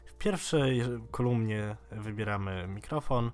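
A man in his twenties giving a casual, informal software tutorial in a slightly halting way. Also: on the low side, faint music behind. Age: 20s. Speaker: tech tutorial host.

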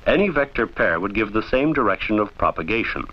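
A man reading scripted instructional narration for a training film in a formal, measured, didactic way, on an old film soundtrack. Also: on the low side, big pitch swings, slightly rough, muffled recording.

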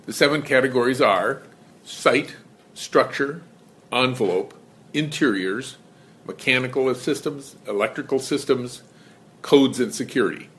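A man presenting an educational lesson in measured, scripted narration. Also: medium pitch, a bit bright. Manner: measured, explanatory.

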